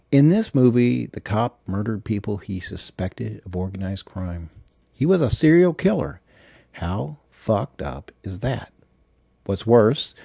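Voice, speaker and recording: A self-absorbed government agent , acted out by a male narrator, ruminates in a confessional audiobook monologue. The man's voice is mellow and mid-pitched, rambling and self-justifying. The recording is very dry. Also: vocal fry, slightly rough, dry recording, exaggerated voice. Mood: indignant, rambling.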